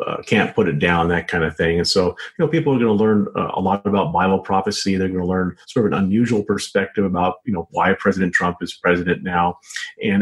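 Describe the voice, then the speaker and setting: An American man speaking as an author being interviewed, answering casually and haltingly with lots of hedging filler phrases. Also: deep voice. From United States. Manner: halting, frequent hedging with "you know" and "sort of". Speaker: author and journalist, interview guest. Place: home office set up as a small studio.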